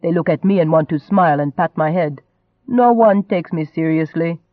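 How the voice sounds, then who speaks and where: A man's voice: a little high, moderate pace, dry recording, phone-quality sound, lightly acted. A man voicing a charming young nobleman in an audiobook, a teasing and cajoling rogue who stays unruffled. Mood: mock self-pity.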